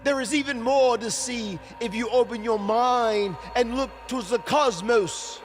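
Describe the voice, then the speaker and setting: A man voicing an eccentric, grandiose mystic in a video game cutscene, theatrical and stately as if addressing the cosmos. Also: very high voice. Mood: mystical, grandiose. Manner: theatrical, stately, drawn-out delivery.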